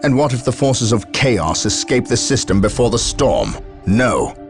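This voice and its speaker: An actor playing a stoic, stubborn space marine captain in a video game, with a resolute, commanding, formal manner. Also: male voice, medium pitch, very animated, unhurried, over music. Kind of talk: video game cutscene acting.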